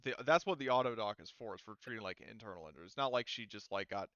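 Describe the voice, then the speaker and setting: A man's voice: on the low side, lively intonation, fast talker, fairly bright tone, clean tone, no room sound, dull audio. A man running a tabletop roleplaying game as game master, narrating in a casual, conversational way.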